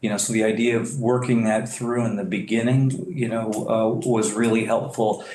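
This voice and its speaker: A developer presenting to a public board meeting, halting with many fillers and restarts, clearing his throat as he loses his voice. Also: fairly low pitch, fairly even intonation, darkish tone. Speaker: property developer. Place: meeting room. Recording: echoey room, faint noise.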